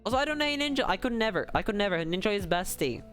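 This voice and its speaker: A man's voice: sits high, expressive, fast, a bit bright. Casting: young male streamer, playful slangy rambling, faint music underneath. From Czech Republic. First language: Czech. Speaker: livestreamer, VTuber. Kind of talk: casual chat with stream viewers.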